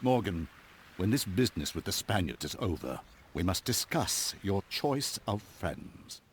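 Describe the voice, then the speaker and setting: An actor playing a stern, haughty older knight commander in a game cutscene, formal and authoritative. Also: male voice, deep voice, big pitch swings, measured pace, slight background noise. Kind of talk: video game cutscene acting.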